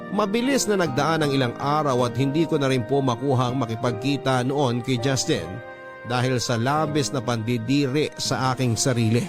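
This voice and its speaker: Male radio narrator, Filipino accent, medium pitch, dramatic storytelling read over prominent background music. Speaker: radio show host and narrator.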